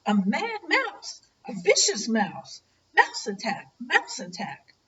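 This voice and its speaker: A woman voicing a shrill, greedy female bug collector in a storybook, loud and hollering. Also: sing-song, a little slow, far from the mic, lo-fi sound, slight background noise. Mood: panicked.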